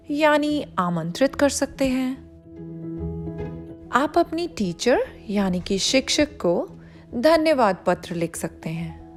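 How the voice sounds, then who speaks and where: A woman reads aloud for children in a high, very lively voice. She takes her time, explaining things directly to the young listener as a storyteller would. Music plays underneath her narration. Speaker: storyteller reading a children's picture book aloud.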